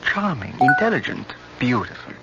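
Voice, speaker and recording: An actor playing a solicitous, secretly scheming European doctor, speaking in deliberate phrases in a radio drama. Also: male voice, medium pitch, sing-song, creaky, over loud music. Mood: earnest, probing. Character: gentle older European doctor friend, male, solicitous yet secretly scheming. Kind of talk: old-time radio drama acting. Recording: noisy, muffled old radio recording.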